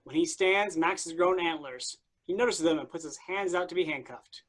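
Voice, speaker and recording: A woman's voice: fairly low pitch, normal speed, lightly acted. A woman giving scripted narration, reading out stage directions for a play.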